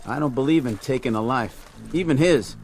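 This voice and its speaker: A man's voice: mid-range voice, normal speed, darkish tone, lightly acted. An actor playing an uneasy, talkative townsman in an old drama, folksy. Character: uneasy, talkative male small-town Western bystander. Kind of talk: old Western drama acting. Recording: vintage TV drama audio with music.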